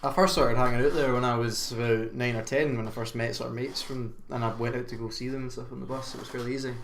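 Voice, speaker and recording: A man's voice: medium pitch, steady tone, fast talker, fairly bright tone. A young man with a Scottish accent, chatting casually as a podcast guest in a jokey, sweary, rambling way. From Glasgow, Scotland. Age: young adult. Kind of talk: casual chat. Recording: echoey room with faint noise.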